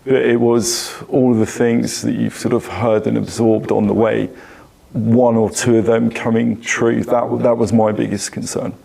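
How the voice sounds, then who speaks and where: A man's voice: medium pitch, little pitch movement, normal speed, vocal fry, warm tone, breathy edge. A man, chatting casually about his own experience in a group. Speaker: panel participant. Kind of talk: casual personal chat.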